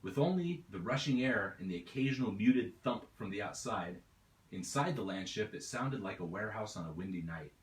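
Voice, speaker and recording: A man reading his own novel aloud in steady, measured audiobook narration. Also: lowish voice, echoey room.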